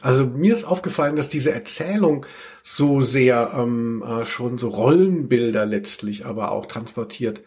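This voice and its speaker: A man with an average-pitched voice talks casually as a podcast co-host. He speaks as if to a friend, rambling a little and working out his thoughts as he goes. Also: normal speed, a little mellow. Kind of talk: casual chat between friends.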